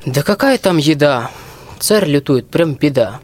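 A man acting a highish-voiced cheeky archer. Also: expressive, a little slow, a little mellow. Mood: gloomy, indignant. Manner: folksy rhymed speech. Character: plain-spoken, cheeky young peasant archer. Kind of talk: rhymed fairy-tale radio play. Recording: music behind the voice.

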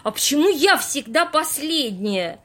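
A woman's voice: high voice, very animated, a little slow, fairly bright tone, heavily acted. A woman acting a timid, superstitious young woman in an amateur audio play, plaintive and easily frightened. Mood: solemn, plaintive.

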